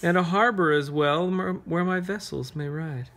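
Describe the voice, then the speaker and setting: A man reading a picture book aloud to children, pausing to ask them gentle, encouraging questions. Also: fairly high pitch. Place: carpeted room at home, sitting on floor.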